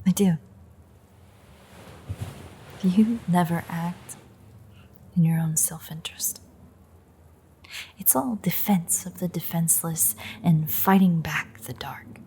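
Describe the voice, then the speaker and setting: A woman voicing a bitter, threatening female vampire in an audio roleplay, sardonic and foul-mouthed, in a breathy, creaky murmur. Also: on the low side, slow, long pauses, mellow, a little hiss or hum. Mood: angry, taunting. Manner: sardonic, profane, taunting. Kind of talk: intimate audio roleplay monologue.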